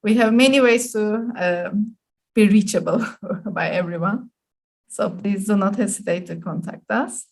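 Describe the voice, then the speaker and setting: A woman's voice: mid-range voice, a little slow, creaky. A woman with a Turkish accent, hosting an online webinar in a polite, semi-formal way with slightly hesitant phrasing. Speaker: webinar host and moderator.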